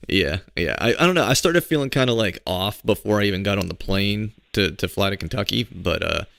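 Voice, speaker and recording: A man hosting a podcast, chatting casually with a cold-roughened, hoarse voice, rambling through stories with lots of fillers. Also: on the low side, expressive, brisk, a bit bright, dry recording. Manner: laid-back, rambling storyteller with filler words. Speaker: podcast host.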